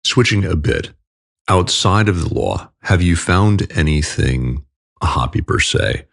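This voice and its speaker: Very deep gravelly man, podcast host. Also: expressive, a little slow, no echo, clean studio sound. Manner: gravelly, very low voice. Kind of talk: interview questions.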